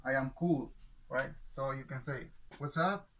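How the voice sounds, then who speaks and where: A man leads a small English class, speaking patiently and slowly and often asking "right?" to check in with his students. His voice sits at an ordinary middle pitch. A light hiss runs behind the recording. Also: fairly bright tone. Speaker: English teacher. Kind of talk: classroom teaching.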